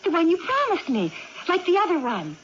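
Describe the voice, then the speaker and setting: An actress playing an excitable young woman with amnesia and swinging moods in a 1980s radio drama, with theatrical, childlike insistence. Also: extremely high pitch, fairly quick, exaggerated voice. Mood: elated, insistent. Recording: dull, noisy old radio broadcast.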